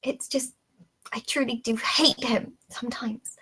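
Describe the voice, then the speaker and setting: A woman plays a lonely, sickly captive in a period drama. Her voice is fairly high but creaky and breathy, with a bitter, resigned and confiding tone. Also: steady tone, a little slow, bright, forceful tone, exaggerated voice, poor audio. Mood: bitter, wounded.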